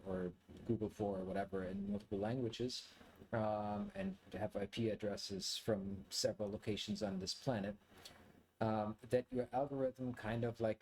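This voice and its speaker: Low-voiced German man thinking aloud. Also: a little mellow, a little hiss or hum, rough recording. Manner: rambling, halting, thinking aloud. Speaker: video creator, commentator. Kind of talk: casual reflective monologue to viewers.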